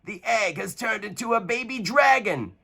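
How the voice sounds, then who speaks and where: Guy voicing a spunky girl knight, way up high, bossy and eager, super lively. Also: moderate pace, creaky, slightly rough, heavily acted. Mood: excited. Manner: eager, commanding cries. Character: bold eager young female knight.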